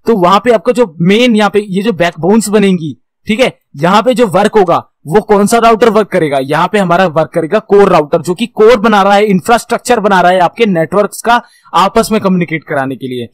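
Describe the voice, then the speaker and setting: A man's voice: high voice, a little fast, dry recording. A man with an Indian accent giving an explanatory tutorial as an instructor, asking questions and answering them himself in a teacherly way. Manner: switches between Hindi and English terms. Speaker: instructor in computer networking.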